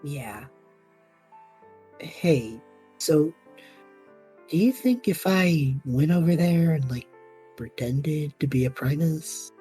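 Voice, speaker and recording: Actress as a restless young man in an audio drama, soft dark tone, rough-edged, earnest. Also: low-pitched, expressive, takes it slowly, long pauses, faint music behind, heavily acted. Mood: pensive. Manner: casual, hesitant, earnest. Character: restless, idealistic young adult male traveler between worlds. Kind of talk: scripted audio drama acting.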